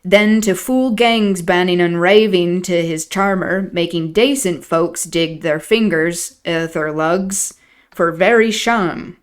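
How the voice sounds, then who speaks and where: A woman voicing a grumbling, pious old male servant, reading his lines slowly in a broad rustic dialect. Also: on the low side, clear, resonant tone.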